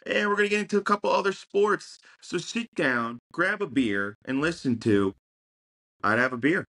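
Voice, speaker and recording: A man's voice: fairly high pitch, lively intonation, normal speed, bright, forceful tone. A man co-hosting a casual sports podcast, delivering punchy catchphrases like a hype man. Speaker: podcast co-host.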